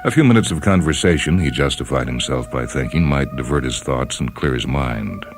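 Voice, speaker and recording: An extremely deep male voice narrates fiction as a host and reader. The delivery is deliberate and measured, a scripted dramatic reading with music clearly running underneath. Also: lively intonation.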